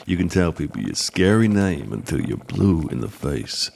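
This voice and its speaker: Deep, gravelly old mountain mentor. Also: male voice, big pitch swings, darkish tone, exaggerated voice. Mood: gruff, dry. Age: older. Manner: blunt, unhurried, folksy, dryly teasing. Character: gruff older mountain man, tough combat mentor. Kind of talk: audio drama acting.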